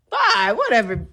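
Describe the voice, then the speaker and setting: A woman's voice: on the high side, bright, forceful tone, slight background noise. A young woman co-hosting a radio show, playful and teasing in casual banter. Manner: playful, teasing, quick to laugh. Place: radio studio.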